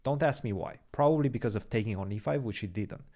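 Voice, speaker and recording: A young man whose first language is Romanian, chatting loosely on a chess livestream, answering viewer questions and musing over positions. His voice sits on the low side. It has a gravelly, fry-tinged edge. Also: fast, a little mellow. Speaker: chess podcast co-host reading chat. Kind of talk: casual livestream chat with game commentary.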